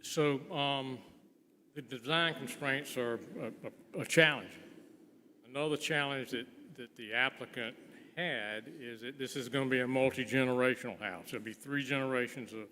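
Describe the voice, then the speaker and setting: A man speaking as a contractor at a public hearing, informally and haltingly, pausing mid-sentence. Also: mid-range voice, sharp tone. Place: large indoor meeting room. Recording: echoey meeting hall with clear background noise.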